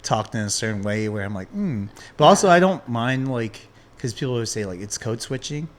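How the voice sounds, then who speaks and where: A man hosting a podcast, chatting casually with loose, relaxed storytelling and lots of filler words. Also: mid-range voice, a little fast. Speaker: podcast host. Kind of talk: casual chat.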